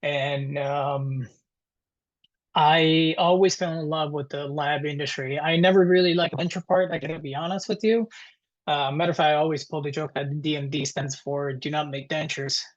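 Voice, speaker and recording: A man in his thirties with an Italian accent, presenting informally to a webinar in a halting, conversational way. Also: fairly high pitch, steady tone. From Italy. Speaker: guest presenter, dental technician. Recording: dull, muffled webinar audio.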